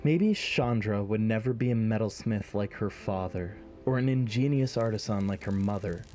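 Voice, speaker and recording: A man's voice: lowish voice, moderate pace, a little mellow, soft background music. A man narrating a scripted fantasy story in an audio drama.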